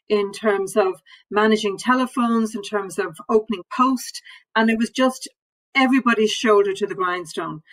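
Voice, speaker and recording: A woman working as a civil servant, speaking as a guest on a panel discussion in a measured way and often repeating words while she thinks. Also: mid-range voice, rough recording.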